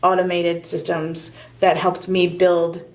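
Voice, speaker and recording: A woman's voice: fairly low pitch, completely flat delivery, slow pace. A middle-aged woman answering interview questions conversationally as a real estate agent, candid and self-deprecating.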